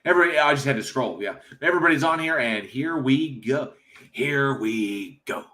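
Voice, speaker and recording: A man's voice: mid-range voice, animated, normal speed, sharp tone. An American man hosting a casual livestream, rambling with many fillers and reading chat aloud from home. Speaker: livestream host.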